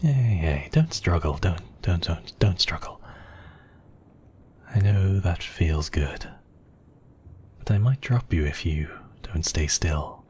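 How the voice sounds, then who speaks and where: A man's voice: deep voice, animated, slow pace, pauses often, darkish tone, a little hiss or hum. A man playing a seductive, obsessive vampire count in an intimate ASMR roleplay, murmuring and often slipping into whispers. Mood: tender, teasing. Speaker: audio roleplay voice actor.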